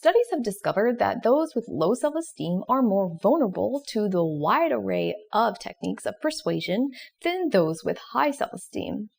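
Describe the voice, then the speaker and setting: A woman presenting educational material in scripted explanatory narration with casual asides, expressive and questioning. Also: mid-range voice, normal speed, gravelly, slightly rough. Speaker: presenter of educational psychology videos.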